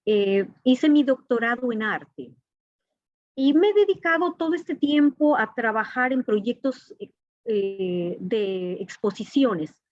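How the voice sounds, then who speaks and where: Woman, bit high voice, earnest formal interview answers, kinda dull lo-fi sound. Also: lively intonation, slow pace. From Ecuador. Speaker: interview guest, artist and professor. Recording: dull, echoey lo-fi call audio.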